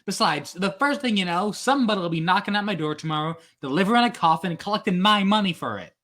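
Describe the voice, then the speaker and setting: A man playing a weary, soft-hearted radio studio worker who talks in a chiding way, in 1940s radio drama style. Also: high-pitched, animated, fast.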